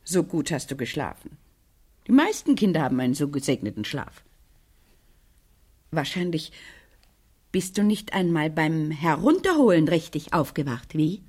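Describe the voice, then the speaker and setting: An actress playing a troubled, guarded middle-aged widow in a radio crime drama, speaking in halting, dramatic phrases with a husky voice. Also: female voice, low voice, sing-song, a little mellow, full character voice. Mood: bitter, subdued. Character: troubled, guarded widow confiding in a young neighbour girl.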